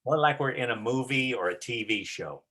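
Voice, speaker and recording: A man's voice: mid-range voice, lively intonation, brisk, pushed and bright. A man giving casual, encouraging instruction as a teacher directing a play rehearsal.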